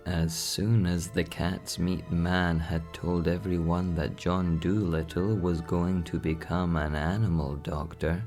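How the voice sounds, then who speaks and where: A man narrates a bedtime story extremely slowly in a low, creaky voice. His delivery is calm and soothing, with faint music underneath. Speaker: audiobook narrator.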